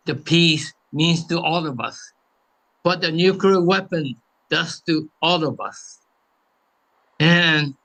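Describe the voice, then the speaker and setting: A man in his eighties from the United States giving a halting memorial speech in short phrases as a guest speaker. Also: a little high, fairly bright tone, low-quality recording. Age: 80s.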